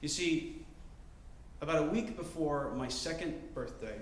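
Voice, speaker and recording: Slow, crisply enunciating young man. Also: a little high, a little hiss or hum. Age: 20s. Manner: careful, precise enunciation with deliberate pauses. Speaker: storyteller. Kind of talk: live storytelling performance. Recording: roomy live-venue sound.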